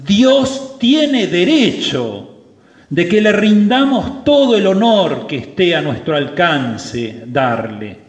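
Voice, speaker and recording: Slow-preaching priest in an echoing church. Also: male voice, sits high, big pitch swings. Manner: slow, emphatic preaching with rising stresses. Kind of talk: sermon at Mass. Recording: roomy church with long reverb.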